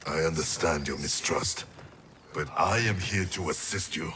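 An actor playing a cold, terse male undead ninja in a game cutscene, slow and solemn. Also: rumbling low, sharp tone, slight background noise, heavily acted. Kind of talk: video game cutscene acting.

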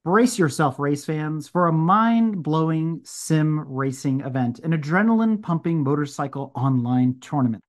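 A man's voice: fairly high pitch, normal speed, hardly any pauses. A man hosting a podcast, delivering prepared introductions and interview questions. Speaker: podcast host.

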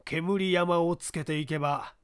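A woman voicing a gruff, scheming middle-aged male newspaper boss giving blunt orders in a story reading. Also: on the low side, fairly even intonation, unhurried, voice pushed down, lightly acted. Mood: stern, scheming.

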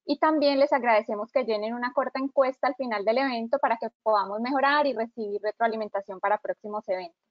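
A woman in her thirties, a marketing director, opening a webinar over a video call with clear, orderly announcements. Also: fairly high pitch, dry recording. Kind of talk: scripted introduction. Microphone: video call webcam. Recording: dry, slightly dull video call audio.

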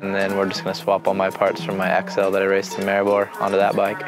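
A laid-back man with a fairly low voice chats casually about his riding, dropping "like" into his sentences. His talk stays loose, and he laughs easily as he explains. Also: completely flat delivery, fast talker, over music. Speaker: pro downhill racer. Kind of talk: casual chat.

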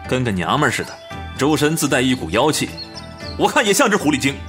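A man's voice: medium pitch, very animated, fast talker, fairly bright tone, faint music behind. A man playing a coarse, jealous, hot-tempered young bandit chief in a Chinese period audio drama, with a sneering, swaggering manner.

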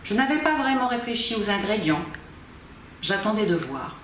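A woman in her fifties reads a novel aloud with measured, expressive pauses. Her voice sits at a middle pitch and is picked up from a distance, so the room rings with heavy echo. A faint background noise runs underneath. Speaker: volunteer amateur reader. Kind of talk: theatrical reading of a novel. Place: small library corner, indoors. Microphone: camera at a distance.

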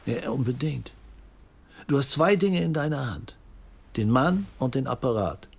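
An actor playing an intrigued, eloquent questioner in an audio drama, savouring words and probing. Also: male voice, mid-range voice, lively intonation, a little slow, vocal fry, slightly rough, exaggerated voice. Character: intrigued, eloquent male listener, theatrical and probing. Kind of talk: naturalistic German audio drama acting.

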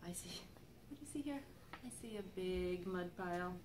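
A middle-aged woman, a children's librarian, reading a picture book aloud to young children in an expressive, sing-song way. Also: fairly low pitch, normal speed. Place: library reading area with magazine racks, indoors. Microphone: camera microphone a few feet away. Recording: faint background room noise.

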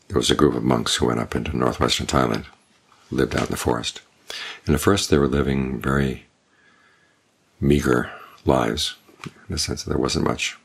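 Older man, very low voice, husky, calm measured monk's talk. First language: English. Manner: calm, measured, unhurried teaching with pauses. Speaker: Buddhist monk giving a Dhamma talk. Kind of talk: evening Dhamma talk.

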